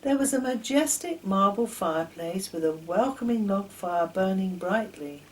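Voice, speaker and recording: An older woman reading a children's story aloud in an expressive, deliberate storytelling manner. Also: medium pitch, slight background noise, rough recording. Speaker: author reading her own children's stories. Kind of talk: storybook narration.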